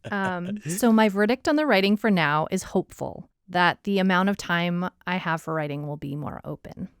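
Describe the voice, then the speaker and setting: A woman's voice: fairly low pitch, moderate pace, clean tone, dry recording, clean studio sound. A woman co-hosting a podcast, talking casually with a wry, joking manner. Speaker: podcast co-host, writer.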